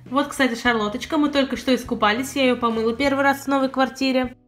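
A woman's voice: high-pitched, steady tone, fast talker, a bit bright, faint music behind. A young woman with a sore throat, chattily reviewing pet products she bought, filmed at home. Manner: chatty, detailed, apologetic asides. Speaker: pet vlogger. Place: indoors at home.

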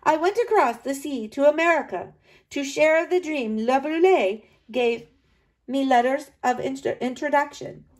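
A woman's voice: high voice, unhurried, darkish tone, pitched above the performer's own voice. A woman voicing a proud, driven French male sculptor in a read-aloud storybook, in an earnest first-person reading.

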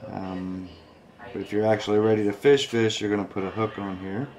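A man's voice: lowish voice, flat delivery, fairly quick, a little mellow. Patient dad coaching his little girl. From Southern Illinois. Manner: patient, step-by-step coaching of a child. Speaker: father filming a toy review. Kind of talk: casual home video instruction.

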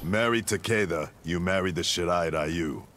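Guy doing proud stern ninja clan master from a game, really deep voice, measured n commanding, noise behind. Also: close to an everyday voice. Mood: solemn, commanding. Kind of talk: video game character dialogue.

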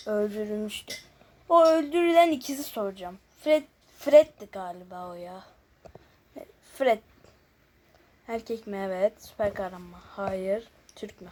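A woman host casually chatting through a guessing game, shifting between muttered asides and chattier remarks to viewers. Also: fairly high pitch. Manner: alternates muttered asides, often near-whispered, with louder chatty remarks to viewers. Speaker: host of a gaming video. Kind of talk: casual chat while playing a guessing game. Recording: noticeable background noise with clicking.

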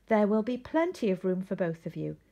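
A woman's voice: medium pitch, sing-song, brisk, gravelly, lo-fi sound. A woman voicing a firm, cheerful father penguin in a storybook, reassuring and no-nonsense.

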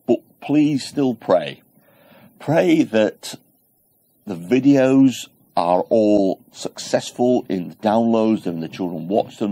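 A man's voice: deep voice, mellow, dry recording. A man, giving an earnest church update and urging people to pray, recorded on a lapel mic in a small studio. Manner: earnest, encouraging, pleading for prayer. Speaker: church holiday club leader. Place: small indoor studio set.

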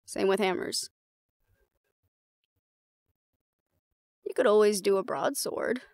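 A woman playing a battle-seasoned, fond and teasing mate in a roleplay audio drama, talking casually and intimately with a gravelly creak and hesitant pauses. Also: medium pitch, darkish tone, dry recording, lightly acted. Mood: playful, fond.

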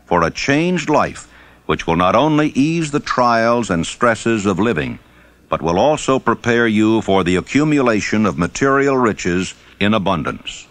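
A man reading scripted audiobook narration in a measured, formal and emphatic way. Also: lowish voice, animated, fairly bright tone, no echo.

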